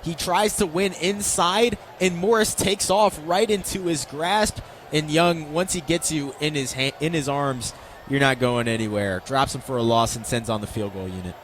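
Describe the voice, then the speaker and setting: A man's voice: on the high side, normal speed, a bit bright, slight background noise. An American man giving conversational, explanatory color commentary on a live football radio broadcast.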